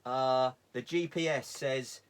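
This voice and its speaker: A man's voice: medium pitch, steady tone, slow pace, clean tone. A middle-aged man giving an informal safety lesson, explaining step by step in an emphatic, plain-spoken way. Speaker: sailing safety instructor. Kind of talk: informal instructional talk.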